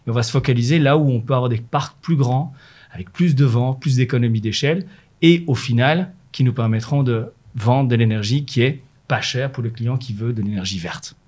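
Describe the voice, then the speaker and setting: A middle-aged man speaks at an ordinary pitch, steady and explanatory. He is a manager answering an interviewer's corporate questions, pausing on fillers and restarting phrases as he thinks. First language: French. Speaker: renewables manager at an energy company.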